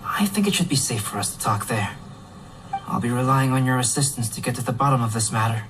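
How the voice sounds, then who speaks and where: An actor playing a calm, analytical young man in a video game, speaking in a measured, formal, articulate way over music. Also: mid-range voice, close to an everyday voice. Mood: calm, reassuring. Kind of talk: English video game voice acting.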